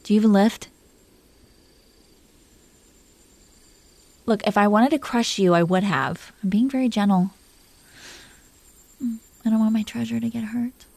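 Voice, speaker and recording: A woman playing an affectionate, possessive dragon queen in an intimate romantic roleplay audio, speaking softly and haltingly with a playful, teasing manner. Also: mid-range voice, vocal fry, dry recording, natural voice.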